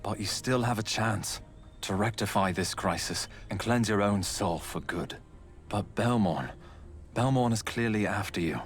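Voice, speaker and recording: A grave guardian played by a man from a fantasy game, with a low voice and a measured, portentous delivery. He sounds knowing, and faint music plays behind him. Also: lightly acted. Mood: grave, warning. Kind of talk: video game voice acting.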